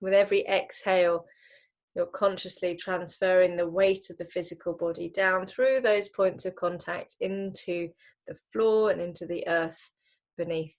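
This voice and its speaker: A woman leading a guided relaxation as a yoga teacher, unhurried and gently instructing, leaving pauses between phrases. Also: lowish voice, fairly even intonation.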